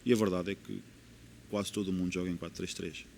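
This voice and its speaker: A man's voice: sits low, level tone, a little fast, vocal fry, a little mellow, slight background noise. A man with a European Portuguese accent, a football coach in a podcast interview, explaining his views earnestly and repeating key phrases for emphasis.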